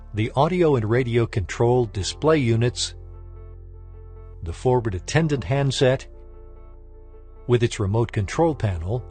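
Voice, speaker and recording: A man reading a scripted technical training lesson in a formal, measured, instructional manner. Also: medium pitch, darkish tone, husky. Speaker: instructor narrator.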